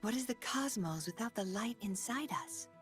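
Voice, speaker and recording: A woman voicing a gentle, wise celestial healer in a video game, serene, nurturing and encouraging. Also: a little high, lively intonation, normal speed, faint music behind, natural voice. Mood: earnest.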